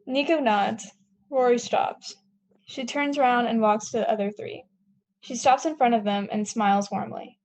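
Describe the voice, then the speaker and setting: Young female narrator, higher pitch, reading screenplay action lines at a table read. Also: moderate pace, a little mellow, rough recording. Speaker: stage-direction narrator.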